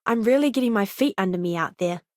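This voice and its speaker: A woman's voice: medium pitch, animated, fast talker, darkish tone, dry recording, studio quality, lightly acted. A woman playing an earnest, friendly young space medic, a companion character in a video game. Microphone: close studio mic, dry.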